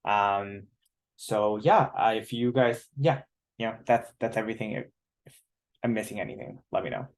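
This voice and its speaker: A man's voice: on the low side, animated, moderate pace. A young man from China speaking as a guest on a video call, friendly and rambling, often restarting. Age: young adult. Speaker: guest speaker, doctoral student. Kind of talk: casual chat on a video call.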